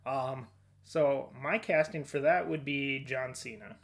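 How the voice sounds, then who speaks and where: A man's voice: mid-range voice, takes it slowly. Casual, informal male podcast co-host. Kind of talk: casual chat about movie casting.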